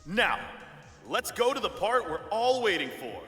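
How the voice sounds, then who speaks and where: A man playing an excited, showy announcer at a game awards ceremony, in an echoing hall. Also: sits high, a little slow, full character voice. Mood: formal, building suspense. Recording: echoing hall, music underneath.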